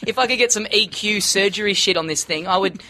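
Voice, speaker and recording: A high-pitched man hosts a laid-back comedy podcast from a small studio. His voice is bright and sharp, and he talks in a chatty, self-deprecating way. He sits close to a studio microphone. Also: fast talker. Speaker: podcast host. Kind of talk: casual comedy podcast chat.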